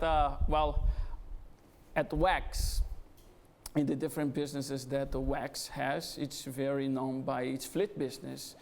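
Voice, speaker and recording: A man's voice: mid-range voice, gravelly. A middle-aged man from Brazil with a Brazilian Portuguese accent, speaking as a guest at a startup event with frequent hesitations. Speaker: guest speaker, business executive. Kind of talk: conversational fireside interview. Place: event venue before an audience.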